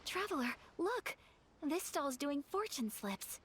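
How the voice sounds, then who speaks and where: A woman playing a polite, gentle young noblewoman in a video game, earnest and formal. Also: high voice, sing-song, takes it slowly, slight background noise, exaggerated voice. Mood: curious.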